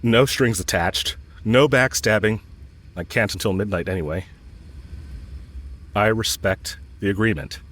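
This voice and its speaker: A man playing a lovestruck villain in an intimate audio roleplay, speaking with earnest, halting hesitation and yearning. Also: on the low side, a bit bright. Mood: earnest, hopeful. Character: lovestruck male villain confessing to his enemy, yearning.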